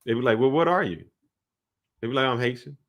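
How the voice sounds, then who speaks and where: A man's voice: mid-range voice, a little fast, fairly bright tone. A man co-hosting a casual podcast, with a mid-range voice. He talks in long, winding explanations, drawling and loose, often circling back over his point. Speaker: podcast co-host. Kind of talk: casual chat.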